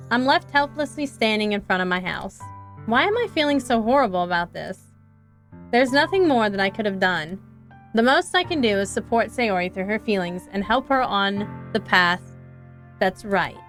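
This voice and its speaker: A woman's voice: fairly high pitch, animated, normal speed, a bit bright, soft background music. Young woman reading a visual novel aloud. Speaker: streamer.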